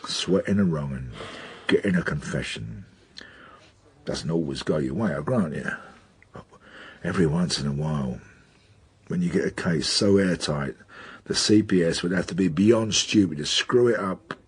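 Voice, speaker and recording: An actor playing a blunt, gruff British police detective mentor in a radio drama, sardonic and emphatic, with a husky voice and slangy speech. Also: male voice, low voice, moderate pace, exaggerated voice. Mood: coaxing. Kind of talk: naturalistic radio drama acting.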